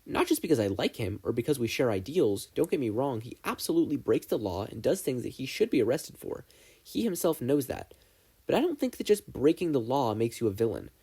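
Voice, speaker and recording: Man acting an earnest, animated teenage boy idealist. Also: medium pitch, a little fast, husky. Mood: thoughtful, reasoning. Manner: emphatic, argumentative.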